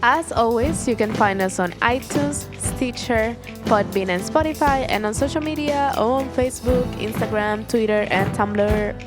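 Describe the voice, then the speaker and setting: A woman from Puerto Rico co-hosting a podcast, reading the show intro briskly. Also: a little high. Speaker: podcast co-host, singer. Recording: loud music bed under voice.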